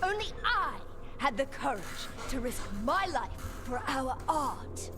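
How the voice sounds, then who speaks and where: A woman's voice: high-pitched, sing-song, measured pace, music in the background. A woman voicing a scornful, grandiose undead sorceress villain in a game, mocking and theatrical.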